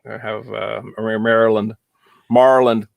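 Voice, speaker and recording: A man's voice: fairly low pitch, unhurried, vocal fry, no room sound. Chill guy hosting a live show, jokey, lots of uhs, dry close sound. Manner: relaxed, joking, many fillers. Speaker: host. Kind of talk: casual live-stream chat.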